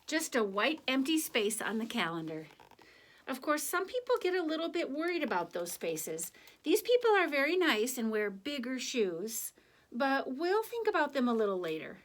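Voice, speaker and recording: A woman reading a children's picture book aloud, with deliberate, expressive phrasing and conversational asides to young listeners. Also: high voice. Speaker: children's story reader.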